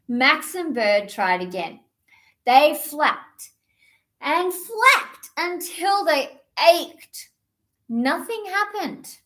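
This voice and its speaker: High-pitched, animated woman reading to children. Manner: expressive, deliberate, child-directed delivery. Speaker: children's storytime presenter at a library. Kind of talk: animated picture-book read-aloud for young children.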